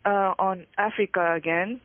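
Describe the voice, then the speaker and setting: A woman in her thirties from Japan, with a Japanese accent, speaking haltingly as an interview guest; Japanese is her native language. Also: mid-range voice, fairly even intonation, no echo. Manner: hesitant, halting delivery with frequent pauses. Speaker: interview guest, historian and author. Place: remote call from Hawaii. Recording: tinny, narrow phone line.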